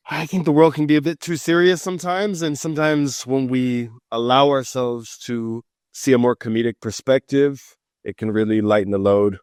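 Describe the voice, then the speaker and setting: A man's voice: medium pitch, clean tone, no room sound, studio quality. A man from Los Angeles, a psychic and mentor, chatting loosely and jokingly as a podcast guest. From Los Angeles, United States. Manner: relaxed, joking, loose conversational talk. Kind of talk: casual podcast interview.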